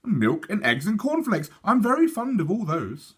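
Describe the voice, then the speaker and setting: A man's voice: high voice, huge pitch swings, moderate pace, husky, pitched above the performer's own voice, heavily acted. A man voicing a small, determined girl who is stubborn, self-assured and quaintly prim, as in a storybook. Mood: scornful, self-assured. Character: determined, matter-of-fact small girl with farming plans.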